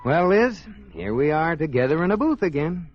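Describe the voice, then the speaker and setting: Teasing affectionate husband, dry sarcasm, swooping intonation, old radio comedy, dull noisy recording. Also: male voice, a little high, very animated, moderate pace. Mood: tender. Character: George, wry, affectionate husband and banker. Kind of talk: 1940s-50s radio comedy acting.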